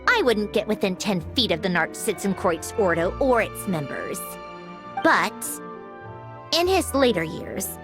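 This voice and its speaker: Haughty, animated young woman scientist. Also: mid-range voice. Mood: serious, reflective. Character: self-assured, haughty young woman scientist, brisk and superior. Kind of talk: scripted video game character dialogue. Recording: game music behind the voice.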